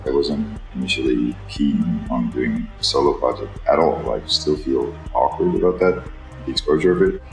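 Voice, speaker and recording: Very deep-voiced Swiss man, halting and thoughtful. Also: a little mellow, reverberant, soft background music, poor audio. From Switzerland. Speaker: singer-songwriter. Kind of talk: reflective, casual interview talk.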